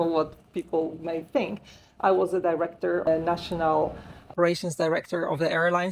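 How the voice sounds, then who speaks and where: A woman's voice: lowish voice, vocal fry, a little mellow, a little hiss or hum. A Polish-accented woman in her forties, a wellbeing consultant talking at length in an interview, with frequent fillers and an earnest tone. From Poland. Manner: talkative, many "uh" fillers, earnest. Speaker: guest, wellbeing strategist. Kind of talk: interview, personal story.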